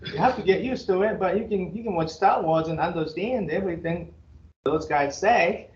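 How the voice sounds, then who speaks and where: A man with a Japanese accent talks to his students in a loose, chatty way, joking and pausing on fillers as he goes. The classroom rings with echo, the sound is a little dull, and a faint hiss sits under it. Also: sits high. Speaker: university professor. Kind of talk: casual first-day class talk.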